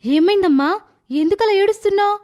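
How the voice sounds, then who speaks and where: A man voicing a gentle, protective young mother in a storybook tale, speaking in a soft, pleading falsetto. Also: fairly quick. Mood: soothing.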